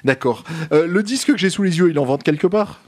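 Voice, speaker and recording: A man hosting a casual radio interview, relaxed and hesitant, with many fillers. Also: high voice, brisk. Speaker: radio host.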